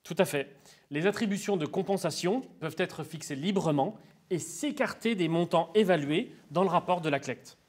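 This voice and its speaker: A male expert with a moderately high-pitched voice. He explains in a formal, teacherly way, with orderly sentences that sound prepared. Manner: formal, didactic. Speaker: expert interviewee on intercommunal finance. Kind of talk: structured, prepared explanation in an interview.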